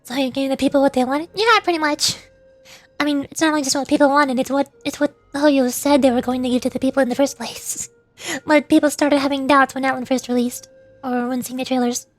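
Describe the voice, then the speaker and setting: A woman's voice: high-pitched, steady tone, a little fast, faint music behind. A woman doing casual livestream commentary on a game, chatty and playful as she talks to her viewers. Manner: chatty, reacting to chat and playfully calling out moves. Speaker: VTuber streamer playing a game.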